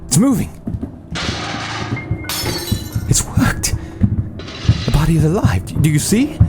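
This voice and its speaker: A man's voice: fairly high pitch, huge pitch swings, moderate pace, over loud music. A man playing an obsessive mad scientist and army surgeon in a horror audio drama, clinical and commanding, with gleeful fascination. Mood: exultant.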